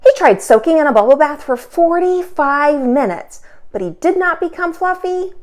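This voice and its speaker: A woman teacher hosting a children's storytime, reading aloud expressively with dramatic pauses and emphasis. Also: high voice, animated, darkish tone. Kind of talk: animated children's read-aloud.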